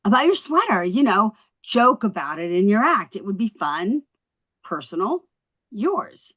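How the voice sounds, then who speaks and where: A woman at a script table read playing a quick-witted young housewife, chatty, coaxing and wry. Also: mid-range voice, very animated, fairly bright tone.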